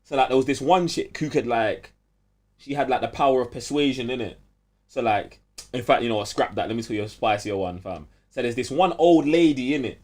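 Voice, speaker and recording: A man's voice: medium pitch, fairly quick. Young London man, casual and emphatic. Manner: animated, emphatic storytelling. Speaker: podcast co-host. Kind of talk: casual chat with heavy street slang.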